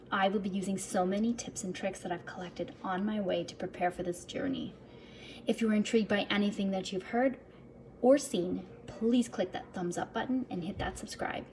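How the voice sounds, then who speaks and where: A woman's voice: medium pitch, darkish tone, a little hiss or hum. A woman speaking as a self-help vlogger, chatty and earnest, addressing her viewers directly and sometimes reading from her own script. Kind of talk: upbeat personal vlog, partly reading from a script.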